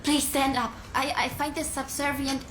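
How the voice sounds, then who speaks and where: Polite young princess, higher voice. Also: female voice, level tone, moderate pace, fairly bright tone, slight background noise. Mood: earnest, gently insistent. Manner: polite, earnest, slightly hesitant. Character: courteous young European princess, newly arrived in Burma. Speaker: film actress in a leading part. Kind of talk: period film dialogue.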